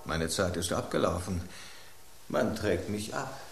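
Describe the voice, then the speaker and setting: A man's voice: low voice, lively intonation, unhurried, creaky, slight background noise, full character voice. An actor playing a foreboding male watcher in a radio drama, dramatic and portentous. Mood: fateful resignation. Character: foreboding, doomed male watcher at a dinner table. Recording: roomy, echoing sound.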